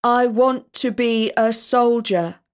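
Storybook creature of wood, eager and stubborn, slow insistent statements voiced by a female narrator. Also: medium pitch, clean tone, close to an everyday voice. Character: wooden man-made creature, eager, stubborn servant. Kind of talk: storybook character voice.

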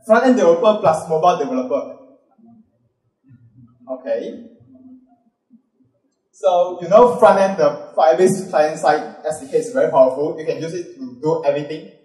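A Malaysian man in his thirties giving an upbeat conference talk and quizzing the audience with quick questions. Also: on the high side, a little mellow. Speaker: conference speaker. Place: large dark auditorium with a stage. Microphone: handheld mic. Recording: roomy hall sound from a distant camera.

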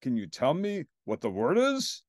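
A man's voice: fairly high pitch, huge pitch swings, moderate pace, no echo, studio quality. A man voicing a friendly old king, an exasperated doting father pleading in a regal manner in a storybook.

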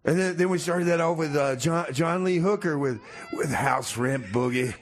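A man's voice: on the high side, moderate pace, a little hiss or hum, close to an everyday voice. A man playing a flustered, broke community radio host who stammers and repeats himself in rambling comic DJ patter. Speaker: radio show host in a comedy sketch.

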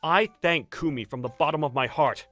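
A man voicing a loyal, hot-tempered young husband, earnest and forceful, in a dramatic manga dub. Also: a little high, moderate pace, faint music behind, natural voice. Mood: stern.

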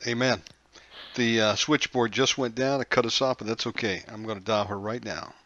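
A man's voice: mid-range voice, steady tone, normal speed, sharp tone, no room sound, dull audio. Upbeat man hosting a call-in show. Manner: friendly, upbeat, chatty. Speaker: radio show host. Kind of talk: casual call-in chat.

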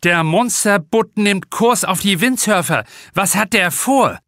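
A man's voice: high-pitched, unhurried, bright, forceful tone, dry recording, studio quality, voice pushed up, heavily acted. A man voicing an urgent, blunt young male lifeguard in an audiobook. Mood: alarmed. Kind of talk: audiobook character dialogue.

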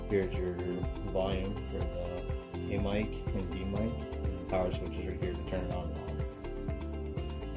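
A man giving a casual spoken walkthrough of a product as a demonstrator. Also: low voice.

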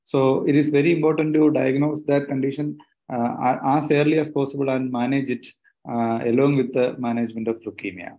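Halting Indian man, mellow, muffled call audio. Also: medium pitch, flat delivery. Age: 30s. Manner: halting, hesitant delivery with frequent pauses. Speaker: medical panelist, doctor. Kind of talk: explanatory medical panel answer. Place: small room indoors. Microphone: laptop on a video call. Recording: dull lo-fi video call audio.

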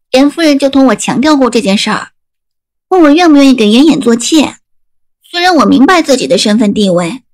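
An actress playing a proud, emotive young maidservant in a Chinese costume audio drama. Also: female voice, sits high. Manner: coy, mock-scolding, emotive. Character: young maidservant-companion woman, proud, teasing, resigned in love.

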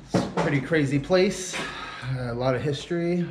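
A man vlogging as he explores outdoors by a mountain river, talking casually and breaking into excited exclamations. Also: fairly high pitch, moderate pace, heavy background noise. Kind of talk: casual on-camera exploring chat.

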